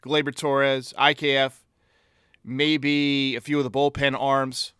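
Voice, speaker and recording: Bright, crisp-voiced male sports podcast host. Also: medium pitch, flat delivery, slow, no echo, clean studio sound. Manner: blunt, sarcastic. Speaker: host of a baseball fan podcast. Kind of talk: opinionated sports commentary.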